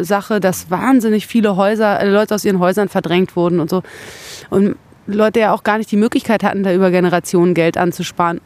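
A woman's voice: mid-range voice, a little mellow. A woman from West Berlin speaking as an interview guest, reflective and opinionated, often searching for words in casual conversation. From West Berlin, Germany. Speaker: interview guest, singer-songwriter.

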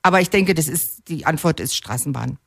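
A woman's voice: deep voice, animated, fairly quick, creaky, a bit bright, husky, no room sound, studio quality. A woman from Berlin answering interview questions on the radio as a political candidate. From Berlin, Germany. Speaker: mayoral candidate being interviewed.

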